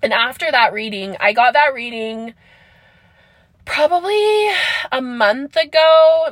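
A woman's voice: fairly high pitch, a bit bright, no room sound. A young woman hosting a casual solo podcast, rambling and chatty with frequent laughs, her nose stuffed up, talking from inside her car. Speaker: podcast host. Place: parked car.

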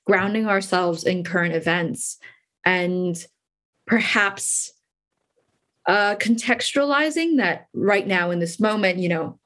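A woman with a Californian accent, interviewing a guest in an earnest, thoughtful way with many fillers. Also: on the low side.

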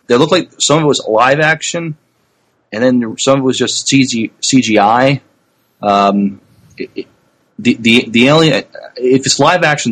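A man co-hosting a podcast, talking casually in a hesitant, halting way with repeated words. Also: medium pitch, a bit bright, breathy edge, rough recording. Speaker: podcast co-host. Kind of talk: casual sci-fi news chat.

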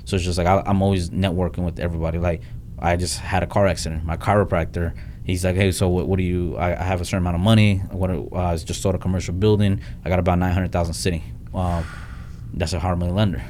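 Fast-talking deep-voiced man, flat delivery. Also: a little hiss or hum. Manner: blunt, restarts often, says "like" and "man". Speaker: real estate investor guest. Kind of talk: casual podcast interview talk.

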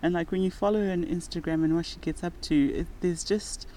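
A woman's voice: on the low side, fairly even intonation, a little fast, warm tone, slight background noise, low-quality recording. Zimbabwean woman, reflective podcast guest. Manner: earnest and reflective, breaks into laughter while telling stories. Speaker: guest, nurse and rock climber. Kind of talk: podcast interview conversation.

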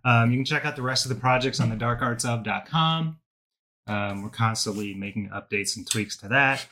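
A man's voice: medium pitch, normal speed, pushed and bright, slight background noise. A man hosting a podcast in a casual, unscripted way, informal and self-mocking. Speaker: podcast host.

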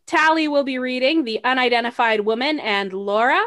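A woman's voice: high voice, normal speed, fairly bright tone. A woman hosting a podcast, delivering scripted narration as the narrator. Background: music.

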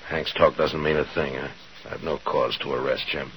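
An actor playing a weary, fair-minded frontier marshal in a measured, grave way, in 1950s radio western style. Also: male voice, very deep voice, fairly even intonation, fairly bright tone, a little hiss or hum, rough recording. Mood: grave, sympathetic. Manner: measured, grave, hesitant pauses. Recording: vintage 1950s radio drama recording.

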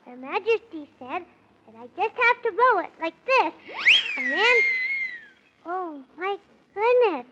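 A woman's voice: very high-pitched, expressive, unhurried, long pauses, very noisy. A woman playing a polite, earnest six-year-old boy in a 1930s children's radio serial. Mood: wide-eyed. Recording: dull vintage 1930s radio recording.

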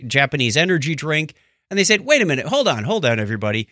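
A man's voice: on the high side, sing-song, fast talker, pushed and bright, no room sound, clean studio sound. A man chatting casually about science news as a podcast co-host, with wry, joking asides.

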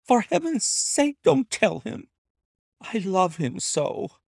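A frantic, tearful noblewoman begging for mercy, put on by a man. Her high voice swoops wildly, with a gravelly edge. The recording is close and dry. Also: big pitch swings, slow pace, no echo, exaggerated voice. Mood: pleading. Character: desperate aristocratic young wife pleading.

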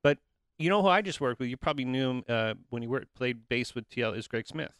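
Male podcast host, middle-aged, relaxed and chatty; clear, resonant tone in a dead-dry room. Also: mid-range voice, fast, dry recording. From England. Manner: chatty, relaxed, many fillers. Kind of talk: casual podcast chat.

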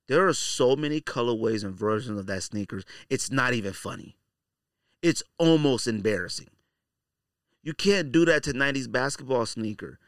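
A man's voice: medium pitch, fairly bright tone, dry recording, clean studio sound. A man hosting a podcast with casual, opinionated commentary in slangy, colloquial speech, repeating phrases for emphasis. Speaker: podcast host.

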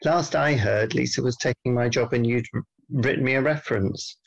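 A deadpan man co-hosting a comedy podcast, with dry comebacks in a gravelly voice. The sound is dull and a little lo-fi. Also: medium pitch, normal speed. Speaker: podcast co-host. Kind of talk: comic banter.

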